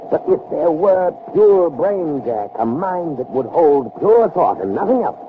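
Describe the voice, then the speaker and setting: Intense scientist, thin old radio sound. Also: male voice, a little high, big pitch swings, a little slow, narrow, tinny audio, slight background noise. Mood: frustrated, intense. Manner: theatrical, brooding, fervent delivery. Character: intense, obsessive male scientist impatient with human weakness. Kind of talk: early 1960s radio drama acting.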